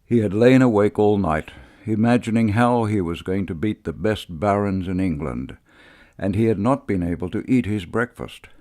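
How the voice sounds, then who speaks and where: Deep-voiced man narrating classic literature. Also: no echo, studio quality. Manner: measured, expressive storytelling delivery. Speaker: voice actor, audiobook narrator. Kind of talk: literary audiobook narration, reading prose and verse.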